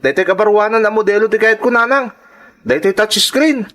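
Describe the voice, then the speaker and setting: A man's voice: sits high, a little fast, a bit bright. A man playing a spoiled, demanding teenage schoolboy in a radio drama, whiny and insistent, with an Ilocano accent. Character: spoiled, demanding teenage high school boy pestering for gifts.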